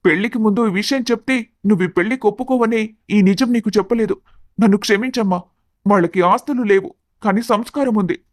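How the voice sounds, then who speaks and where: A man acts a young adult son from an animated family melodrama. His voice is high-pitched and dry in sound, with an earnest, apologetic, explaining manner. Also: brisk, no echo, lightly acted. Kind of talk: animated family story acting.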